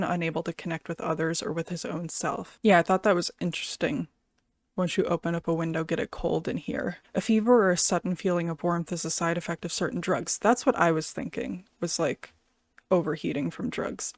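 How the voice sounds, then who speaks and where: A woman's voice: on the low side, dry recording. A woman giving casual, unscripted reaction commentary, thinking aloud hesitantly with lots of "like". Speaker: music reaction reviewer.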